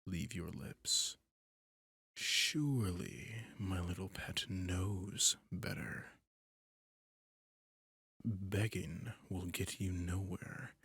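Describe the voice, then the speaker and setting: A man voicing a possessive, sadistic male vampire who is cruelly seductive, in an ASMR roleplay, talking slowly and domineeringly in a husky, often whispered voice close to the mic. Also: deep voice, a bit bright, dry recording, heavily acted. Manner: slow, purring, domineering.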